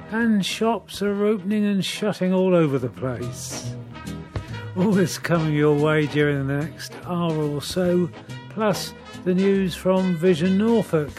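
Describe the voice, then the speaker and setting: Slow-spoken male presenter over music. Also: high-pitched. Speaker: presenter of a local talking newspaper. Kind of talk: scripted news magazine introduction. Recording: music playing behind the voice.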